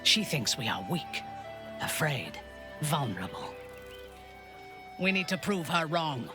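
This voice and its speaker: An actor voicing a wary male adventurer companion who speaks in a grave, resolute and measured way. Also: on the high side, big pitch swings. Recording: game audio with music underneath.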